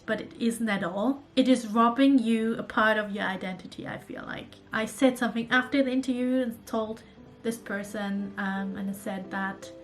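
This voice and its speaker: Book vlogger, female, German accent, somewhat high voice, earnest and reflective, faint music under. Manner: earnest, thoughtful, with small restarts. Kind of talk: casual chat to camera.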